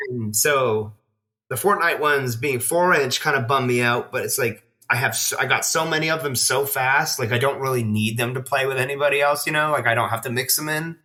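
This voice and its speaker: A man's voice: mid-range voice, brisk, pushed and bright. A man in a casual podcast chat, talking in a rambling, slangy, enthusiastic way as a collector guest.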